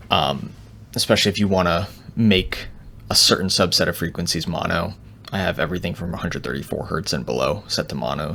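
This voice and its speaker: A man with a low voice and plenty of gravelly fry walks through technical material as an audio engineer. His delivery is casual and unscripted, in a laid-back tutorial style. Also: fairly bright tone.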